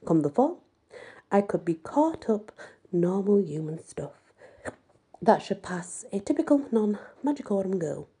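A woman reading a first-person story aloud with expressive, dramatic delivery and a husky, breathy voice. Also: mid-range voice, measured pace. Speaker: amateur audiobook reader.

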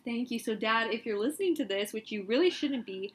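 Animated young woman, fairly high voice. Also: a little fast. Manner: animated, laughs often, emphatic. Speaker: podcast co-host. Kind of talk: casual chat.

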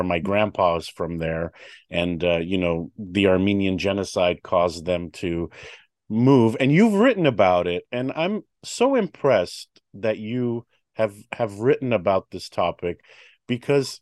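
A man's voice: fairly low pitch, darkish tone, no echo, clean studio sound. A man hosting a public affairs talk show, chatty with many fillers and restarts. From United States. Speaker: host.

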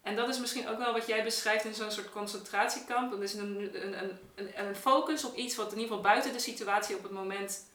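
A woman with a bright, clear-edged voice thinks aloud in relaxed conversation, rephrasing along the way. She sounds a little away from the microphone in a noticeably echoey room. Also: mid-range voice, quick pace, hardly any pauses. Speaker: theatre maker in a podcast discussion. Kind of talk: casual reflective conversation. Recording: echoey room, distant from the mic.

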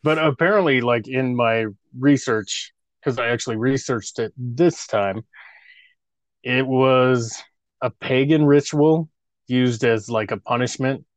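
A man in his thirties co-hosting a podcast, unhurried and drawn out in his delivery. He teases his co-host with crude jokes and laughs a lot. His voice sounds close and dry, with no room around it. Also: mid-range voice, no echo. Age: 30s. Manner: joking, crude teasing, laughs often. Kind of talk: casual banter podcast.

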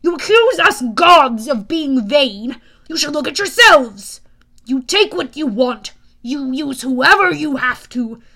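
Woman acting menacing immortal male god, audiobook villain, pompous, sneering, bitter, forceful bright voice. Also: sits high, expressive, exaggerated voice. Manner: sneering, slow deliberate pompous delivery. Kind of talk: audiobook character voice.

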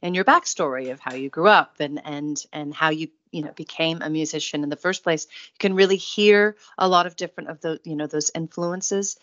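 A woman's voice: deep voice, fairly quick, fairly bright tone, no echo, lo-fi sound. A woman hosting a music show in a casual interview style, with a gushing, admiring manner.

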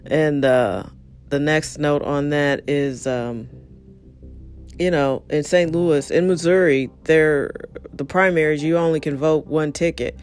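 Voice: medium pitch, steady tone, takes it slowly. A speaker who is losing their voice delivers a blunt, frustrated, casual monologue straight to the listener. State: hoarse, losing voice.

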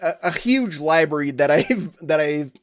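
A Brazilian man, a native Portuguese speaker, chatting casually in an interview and often restarting his phrases haltingly. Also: a little high, expressive. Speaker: sound designer, interview guest.

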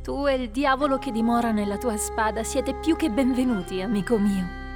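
An actress playing a steady female adventurer in an Italian audio drama, speaking calm and measured. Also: on the high side, over music, lightly acted.

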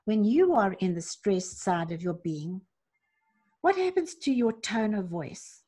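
A woman leading parents through a slow, gentle guided meditation, asking soft reflective questions with long pauses. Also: medium pitch, big pitch swings, breathy edge. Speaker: parenting coach guiding a reflection exercise.

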